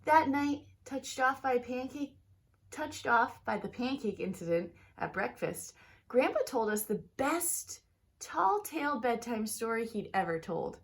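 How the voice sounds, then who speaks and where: A woman with a fairly high voice reads a picture book to children. Her delivery is expressive and teacherly, leaning on key words and drawing some of them out for young listeners. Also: unhurried. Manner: talks directly to young listeners. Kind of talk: expressive children's storybook read-aloud.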